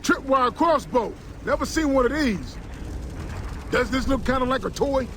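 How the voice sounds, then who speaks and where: Laid-back male soldier for a game, high voice, wisecracks, clear engine noise. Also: expressive, normal speed. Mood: wry.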